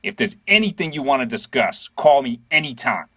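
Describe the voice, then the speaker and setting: Actor as reassuring cop, husky, lively intonation, confidential manner, audio play. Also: male voice, medium pitch, fairly quick. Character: secretive, reassuring male police officer. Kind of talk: audio drama acting.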